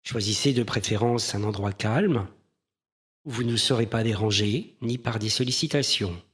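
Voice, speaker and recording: A man leading a guided mindfulness meditation in a gentle, measured manner with pauses between phrases. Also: on the low side, husky.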